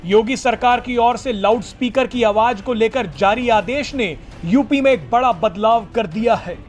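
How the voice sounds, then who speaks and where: A man's voice: extremely high pitch, moderate pace, faint music behind. A man reading a scripted news report as a narrator.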